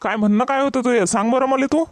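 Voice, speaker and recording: A man's voice: very high-pitched, fast talker, dry recording, studio quality. A man voicing an exasperated village husband in a comedy cartoon, complaining sarcastically in a Vidarbha Marathi accent. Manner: complaining, sarcastic rustic banter. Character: exasperated, grumbling village husband with long-suffering temper.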